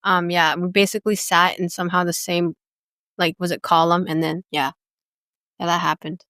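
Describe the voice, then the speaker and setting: Sex: woman. Origin: United States. Role: podcast host. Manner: rambling, giggly, full of fillers. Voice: smooth and clear, mid pitch. Room: dry. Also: level tone, moderate pace, fairly bright tone, dry recording. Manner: rambling, giggly, heavy use of "like". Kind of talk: casual chat.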